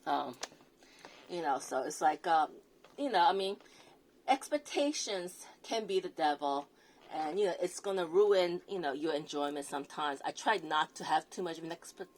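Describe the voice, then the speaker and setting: Slow, low-voiced woman with an accent. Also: a little hiss or hum. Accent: non-native English accent. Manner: hesitant, many fillers and restarts. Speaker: perfume reviewer. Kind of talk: casual product review vlog.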